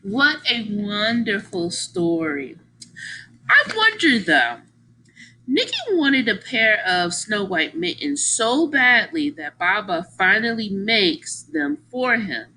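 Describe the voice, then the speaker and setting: Bright, animated woman reading aloud to children. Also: mid-range voice, slow, a little hiss or hum, low-quality recording. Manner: animated, exaggerated emphasis, engaging listeners with questions. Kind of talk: expressive picture-book read-aloud with questions to young listeners.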